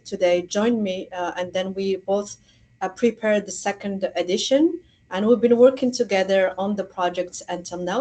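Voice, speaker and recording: Female academic guest, medium pitch. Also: darkish tone. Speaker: returning guest, academic festival organizer. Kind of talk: conversational explanation in a radio interview.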